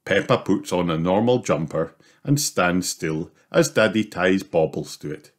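Deep-voiced man narrating a children's story. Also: lively intonation, a little slow.

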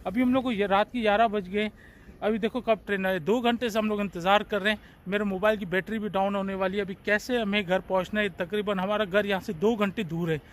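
A man in his forties vlogging to his phone camera on an outdoor train platform at night, in a chatty, relaxed way. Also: high voice, brisk. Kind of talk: casual vlog narration. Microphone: phone at arm's length. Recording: faint outdoor background noise.

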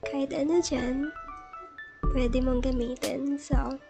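A woman's voice: sits high, steady tone, takes it slowly, gravelly. A woman with a Filipino accent giving a casual step-by-step tutorial, switching between two languages. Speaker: tech tutorial host. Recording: music playing behind the voice. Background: background music.

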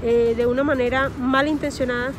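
High-voiced Venezuelan woman vlogging in a park. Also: fairly even intonation, fairly quick, music in the background. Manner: earnest, repeats words while searching for them. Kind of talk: advice monologue to camera. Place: park outdoors among trees. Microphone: phone or camera at close range, face masked.

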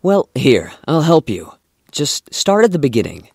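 A man playing a kind, polite and shy young suitor in an audio drama, gentle and earnest. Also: a little high, big pitch swings, a little slow, vocal fry, slightly rough, no echo, studio quality, heavily acted.

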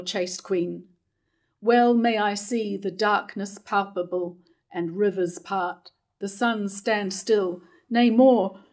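Devoted, doomed royal counsellor, read by a woman in her fifties, unhurried stage verse delivery. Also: medium pitch, darkish tone, close to an everyday voice. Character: doomed loyal male counsellor, devoted to his queen. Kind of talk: staged reading of verse tragedy. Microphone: lectern microphone.

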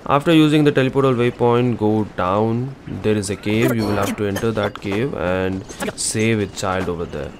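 A man's voice: lowish voice, normal speed, background noise. A man narrating a game walkthrough as an informal, instructional gaming channel host. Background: game music.

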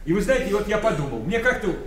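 An actor playing a jovial, pushy middle-aged son-in-law in a radio play, coaxing and flattering with endless rhetorical questions. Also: male voice, sits high, quick pace. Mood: coaxing, persuasive. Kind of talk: Soviet-era radio play acting. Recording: roomy, distant, faintly noisy radio play.

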